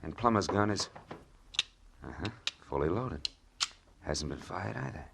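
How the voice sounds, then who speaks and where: An actor playing a tough, wisecracking, sardonic private detective in 1940s radio drama style. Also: male voice, low-pitched, animated, measured pace, vocal fry, background noise, heavily acted. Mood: probing. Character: hard-boiled, wisecracking male private detective.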